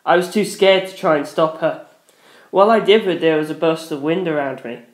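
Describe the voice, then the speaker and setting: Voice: mid-range voice, brisk. A narrator reading a dramatic first-person story. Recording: echoey room.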